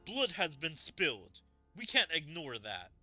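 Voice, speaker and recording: A man's voice: fairly high pitch, wildly swooping pitch, a little slow, sharp tone, soft background music, heavily acted. A man voicing a loyal fantasy soldier, formal and urgent, reporting indignantly to his king in a game. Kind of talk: reading game dialogue aloud in character.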